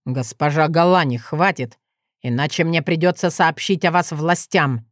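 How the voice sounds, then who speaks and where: A woman's voice: sits low, normal speed, no echo, studio quality, close to an everyday voice. A woman voicing a restrained, exasperated male dragon lord who speaks formally and through gritted teeth in an audiobook story. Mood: stern warning.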